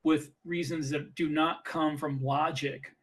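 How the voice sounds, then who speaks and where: A man's voice: on the high side, level tone, unhurried, a bit bright. A man co-hosting a podcast, explaining his opinions casually and emphatically, often repeating phrases as he argues. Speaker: podcast co-host and author.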